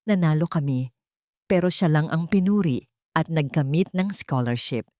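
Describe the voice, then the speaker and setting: A woman's voice: sits low, lively intonation, normal speed, mellow, dry recording, clean studio sound. A woman voicing a bitter, betrayed man confiding in a narrated story. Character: bitter betrayed man recalling a rival's deceit.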